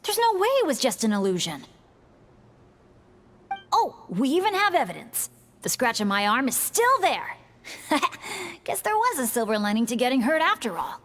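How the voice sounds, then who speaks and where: A woman's voice: sits high, very animated, normal speed, pauses often, fairly bright tone, slight background noise. An actress voicing a cheerful, eager woman. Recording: game scene with faint music.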